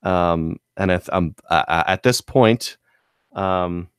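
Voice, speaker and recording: Low-voiced man chatting casually, close and dry. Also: slow pace, smooth, dry recording. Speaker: podcast co-host. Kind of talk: casual gun-hobby chat.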